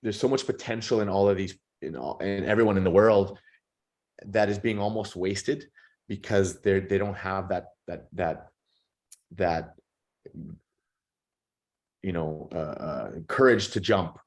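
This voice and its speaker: Man, thirties, very deep voice, thoughtful, stumbles and repeats words, casual interview guest. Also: a little slow, long pauses, a little mellow. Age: 30s. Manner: reflective, repeats words while thinking. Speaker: podcast guest.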